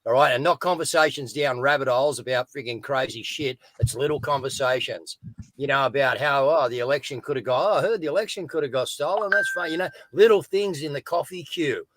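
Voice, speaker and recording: Booming man, dry sound. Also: fairly quick, no echo, low-quality recording. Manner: loud, booming, addresses folks. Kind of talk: casual live stream chat.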